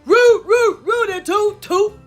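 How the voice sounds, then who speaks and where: A woman voicing a big, mean, boastful girl capybara bully in a storybook, shouting and singsong. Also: very high voice, slow pace, faint music behind, pitched above the performer's own voice. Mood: singsong showing off.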